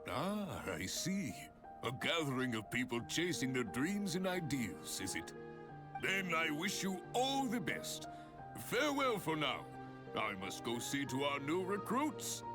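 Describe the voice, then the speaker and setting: An actor playing a hearty, long-winded adventurers' guild leader in a video game, grandiose and florid in delivery. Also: male voice, fairly high pitch, huge pitch swings, a little slow. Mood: jovial, courteous. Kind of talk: video game character dialogue. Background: music.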